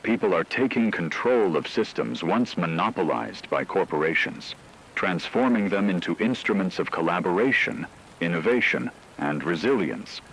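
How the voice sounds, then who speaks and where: A man playing a formal, measured radio news anchor reading scripted reports in a solemn manner. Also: deep voice, expressive, vocal fry, a bit bright, slight background noise, heavily acted, poor audio. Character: grave male radio news anchor in a dystopian broadcast.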